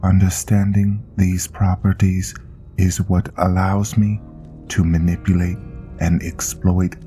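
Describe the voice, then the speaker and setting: Vampire blood knight, game monologue, played by a man: solemn, low-voiced, unhurried and measured over prominent music. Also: a little mellow. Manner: slow, measured, brooding delivery. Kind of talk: scripted in-character monologue.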